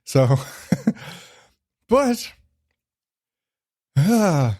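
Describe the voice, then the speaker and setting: A man's voice: high voice, big pitch swings, drawn-out words, warm tone, no room sound, studio quality. A man in his thirties hosting a casual solo podcast, rambling and swearing freely, still getting over a cold with a lingering cough. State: recovering from illness, lingering cough. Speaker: podcast host.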